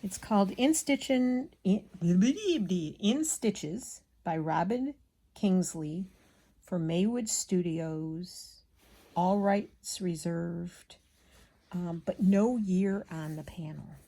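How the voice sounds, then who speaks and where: A woman chatting casually as she hand stitches, rambling and absent-minded, muttering to herself, with a slightly gravelly voice. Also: fairly low pitch, animated, extremely slow, warm tone. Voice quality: slightly gravelly from a frog in the throat. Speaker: craft vlogger.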